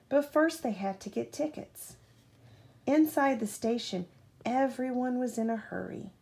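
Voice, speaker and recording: A woman reads a children's bedtime story in a slow, highly expressive voice. Her pitch moves up and down freely, with some creak at the edges. She stops to ask her young listener questions. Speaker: mother reading a bedtime story aloud.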